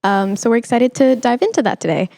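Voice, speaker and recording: A woman's voice: a little high, expressive, quick pace, gravelly, warm tone. A woman hosting a podcast, chatting casually as she interviews a guest. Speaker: podcast host.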